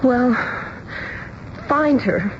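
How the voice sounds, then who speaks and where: An actress playing a distressed, sensitive young bride-to-be in a radio drama. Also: female voice, a little high, extremely slow. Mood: distraught. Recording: dull, faintly noisy vintage radio recording.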